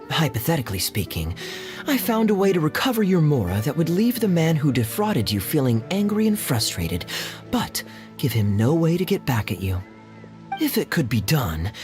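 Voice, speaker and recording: Wry, animated, suave captain. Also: male voice, a little high. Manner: wry, smooth-talking, playfully sardonic. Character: suave, teasing male captain, wry and calculating. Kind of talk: video game character dialogue. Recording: music under the voice.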